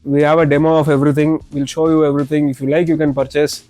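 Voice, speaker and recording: Male, Indian accent, rapid salesman patter, slightly high voice, repeats himself while demonstrating products, music behind. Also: flat delivery, a little mellow, no room sound. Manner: repeats words and phrases while explaining. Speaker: shop salesman presenting products.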